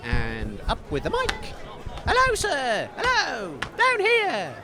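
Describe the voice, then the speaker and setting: A man's voice: extremely high pitch, wildly swooping pitch, slow pace, pushed and bright, full character voice. A man playing a hapless, eager radio presenter in a comedy, polite, hesitant and easily flustered. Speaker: radio host. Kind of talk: comedy roving-reporter interviews. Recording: outdoor crowd noise.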